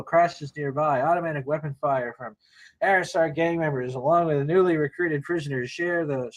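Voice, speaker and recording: A man's voice: fairly high pitch, poor audio. A man narrating a screenplay table read, reading action lines aloud informally with wry asides.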